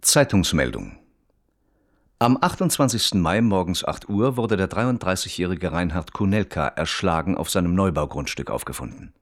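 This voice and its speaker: An actor as the sober, detached narrator of a German radio crime play, reading like an official report in standard German. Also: male voice, low voice, lively intonation, moderate pace, no echo, studio quality. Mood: formal, factual.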